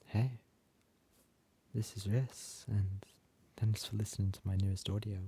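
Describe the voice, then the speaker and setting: A man's voice: lowish voice, steady tone, measured pace, mellow, husky. A man who creates audio roleplays, speaking directly to his listeners in an outro.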